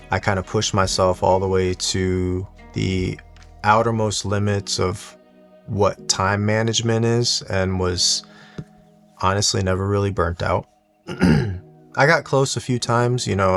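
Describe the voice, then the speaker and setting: A man talking casually and reflectively in a monotone, low-key, unhurried way, like a personal video diary for his hobby channel. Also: gravelly. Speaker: YouTube watch collector and content creator. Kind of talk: casual reflective solo vlog talk.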